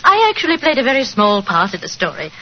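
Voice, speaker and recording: A woman's voice: on the high side, huge pitch swings, fast. A woman playing a gracious, modest Englishwoman in a 1940s radio drama, with a British accent and genteel manner. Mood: modest, flustered. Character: gracious, modest grown Englishwoman recalling her childhood. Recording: muffled, noisy 1940s radio recording.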